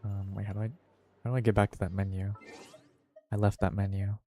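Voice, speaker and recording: A man's voice: lowish voice, flat delivery, fairly quick, warm tone, slight background noise. A man giving offhand, slangy commentary while playing a game, often muttering to himself. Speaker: game streamer. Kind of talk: casual gameplay commentary. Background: game music.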